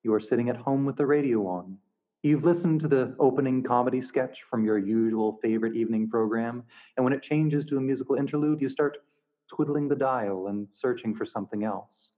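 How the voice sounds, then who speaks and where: A man performs a radio drama narrator setting the scene for the listener. The male voice is mid-pitched and scripted. It sounds narrow and tinny, like a phone line. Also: fairly quick, close to an everyday voice.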